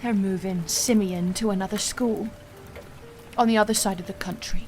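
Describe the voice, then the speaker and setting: A woman's voice: medium pitch, fairly even intonation, moderate pace, a little mellow, soft background music, close to an everyday voice. A woman playing a bitter, impatient, lovelorn young wife and mother in an audio drama, scornful and snappish. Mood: angry, defiant. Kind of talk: naturalistic audio drama acting.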